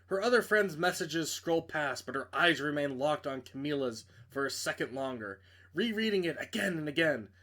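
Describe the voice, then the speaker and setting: A man's voice: on the high side, animated, moderate pace, bright, forceful tone. A man reading a visual novel aloud as a streamer, narrating dramatically and breaking off into casual, joking asides to correct himself.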